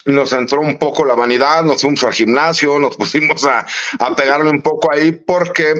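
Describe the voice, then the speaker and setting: A man in his late forties, a lawyer, giving casual analysis in an interview and speaking warmly and familiarly to the host. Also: a little high, moderate pace, lo-fi sound. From Mexico. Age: late 40s. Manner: warm, conversational, often says "mi querido Manuel". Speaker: lawyer and political analyst guest.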